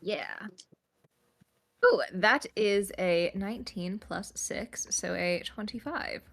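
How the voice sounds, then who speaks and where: A woman's voice: medium pitch, slow pace, gravelly, a bit bright. A woman playing a tabletop roleplaying game, chatting casually and slipping between describing and speaking as her character.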